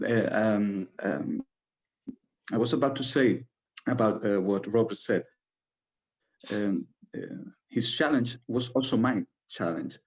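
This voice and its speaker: A man with a fairly low voice and a Spanish accent answers as a playwright in a Q&A. He is a native Spanish speaker who halts often to search for his words. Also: darkish tone, poor audio. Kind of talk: halting Q&A answer.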